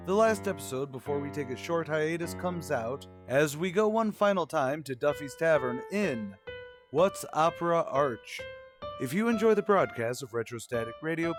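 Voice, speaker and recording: Higher-voiced man announcing over music. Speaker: podcast announcer. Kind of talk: scripted show outro narration. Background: music with plucked guitar.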